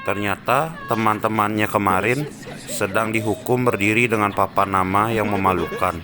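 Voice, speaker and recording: A man's voice: fairly low pitch, normal speed. A man narrating a scripted story. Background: music behind the voice.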